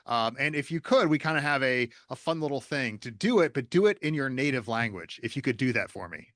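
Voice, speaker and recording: A man's voice: medium pitch, lively intonation, fairly quick, a bit bright, no room sound, studio quality. A man hosting a casual interview, friendly and encouraging, with loose phrasing and fillers. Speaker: interview host. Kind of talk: casual interview on a video call.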